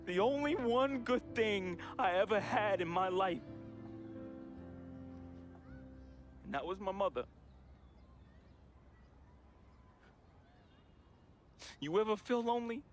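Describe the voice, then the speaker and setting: A man's voice: sits high, animated, a little fast. An actor playing a troubled, grieving young American man reading a farewell letter to his friend in an emotional, slangy, confessional voice-over. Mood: anguished. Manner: emotional, slangy, heartfelt urban American delivery. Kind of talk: dramatic confessional voice-over monologue. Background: music.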